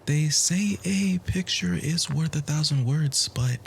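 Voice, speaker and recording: A man playing a charming, bookish nerd in an ASMR romance roleplay, flirting in formal, poetic phrases with a soft, breathy, often near-whispered voice. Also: fairly high pitch, slow, slight background noise. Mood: smitten, flirtatious. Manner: formal, wordy, gently hesitant flirting.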